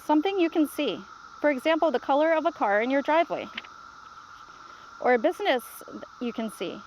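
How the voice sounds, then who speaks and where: A patient storybook grandmother, read aloud by a woman, reassuring and instructive. Her voice sits high, with faint noise behind it. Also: animated, a little fast, low-quality recording.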